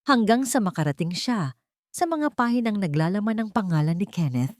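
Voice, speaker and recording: A woman with a Filipino accent reading a dramatic story in a measured, scripted audiobook manner. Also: mid-range voice, animated, darkish tone, no echo, studio quality. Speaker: audiobook narrator.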